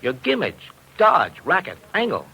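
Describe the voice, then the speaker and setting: A man's voice: high voice, huge pitch swings, unhurried, gravelly, sharp tone, husky, exaggerated voice. An actor playing a worried, slangy betting agent, a big man, in a 1950s radio drama. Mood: worried, frustrated. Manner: slangy, hard-boiled talk. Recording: noisy old radio recording.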